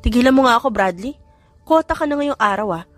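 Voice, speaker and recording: A woman's voice: on the high side, animated, fast, no room sound, soft background music, voice pushed up, natural voice. A woman voicing a feisty young woman in an audiobook, polite to elders and easily exasperated. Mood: irritated. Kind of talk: audiobook character dialogue.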